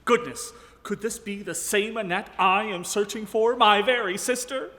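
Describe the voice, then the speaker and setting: An actor playing an earnest, mock-heroic French-Canadian explorer in a comic live radio play, with formal, theatrical diction. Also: male voice, high voice, moderate pace, bright, forceful tone. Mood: shocked, dramatic. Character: earnest, melodramatic French-Canadian explorer, orphaned young hero. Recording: reverberant ballroom stage.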